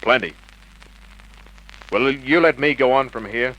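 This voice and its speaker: An actor playing a cool, wisecracking private investigator in a clipped, commanding vintage radio crime style. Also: male voice, mid-range voice, a little slow, pushed and bright, rough recording. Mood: cool, controlled. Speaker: lead actor and narrator. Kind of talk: 1950s radio crime drama acting, hardboiled narration. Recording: old radio recording with hiss.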